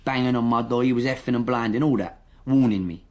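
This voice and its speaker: An actor playing a cocky, defensive young gang member under interrogation in a radio drama, speaking indignant street slang. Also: male voice, medium pitch, fast talker, close to an everyday voice. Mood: bragging, irritated. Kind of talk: naturalistic radio drama dialogue.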